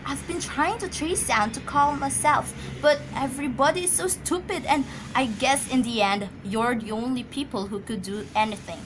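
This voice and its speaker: A woman's voice: sits high, moderate pace, few pauses, background noise, close to an everyday voice. A woman acting an anxious, imperious, easily flustered wife in an amateur radio drama, agitated and stammering.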